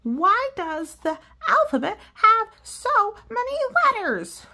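A woman voicing an anxious, dramatic, excitable cartoon pigeon from a picture book, with drawn-out exclaiming questions. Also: extremely high pitch, voice pushed up, heavily acted. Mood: anxious, questioning. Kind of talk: children's picture book read-aloud.

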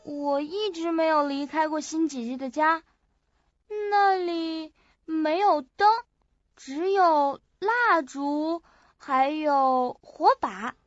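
A woman's voice: high voice, fairly even intonation, moderate pace, hardly any pauses, no room sound, soft background music, close to an everyday voice. An actress in an audio drama playing a sweet, forgetful young woman with a naive, hesitant way of talking. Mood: hesitant recalling. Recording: muffled lo-fi audio.

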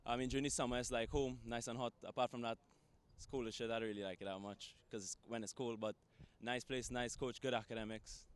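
A man's voice: mid-range voice, steady tone, a little fast, few pauses, clean tone. A young man, speaking casually and bluntly into a handheld mic on an outdoor soccer field. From Trinidad and Tobago. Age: young adult. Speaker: college soccer recruit. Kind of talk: casual on-camera interview.

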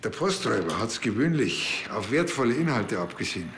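A man's voice: fairly low pitch, sing-song, brisk, a bit bright, slight background noise. An actor playing a cocky, scheming but naive village policeman with a Bavarian accent, in colloquial TV comedy dialogue.

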